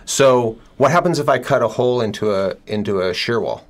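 A man's voice: medium pitch, lively intonation, brisk, a little hiss or hum. A middle-aged man hosting an interview, asking a guest conversational questions with plenty of fillers, seated indoors in an office. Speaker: builder and show host.

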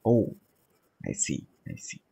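A man in his twenties hosting a casual conversation podcast over a video call, talking from a small room and often pausing to find his words. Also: mellow. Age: 20s. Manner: hesitant, searching for words. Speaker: podcast host. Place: small home room, indoors. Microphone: headset.

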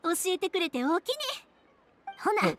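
A bright, high young woman with a Kansai lilt, a cheerful fireworks maker whose pitch swings freely. She chats in a breezy, friendly way in game dialogue, with faint music underneath. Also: expressive, normal speed. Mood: upbeat. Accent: Kansai Japanese. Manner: breezy, friendly dialect banter.

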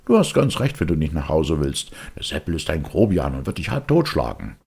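A man's voice: rumbling low, very animated, a little fast, gravelly, darkish tone, breathy edge, exaggerated voice. A man voicing gossiping, meddling street loafers in a storybook reading. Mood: pitying.

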